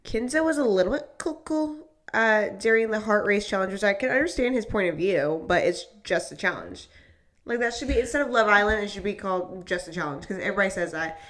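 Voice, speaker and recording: A young woman with a raspy, hoarse voice, co-hosting a podcast and chatting excitedly about reality shows and her week. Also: medium pitch. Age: young adult. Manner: excitable, quick storyteller. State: hoarse from screaming at work. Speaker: podcast co-host. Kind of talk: casual chat.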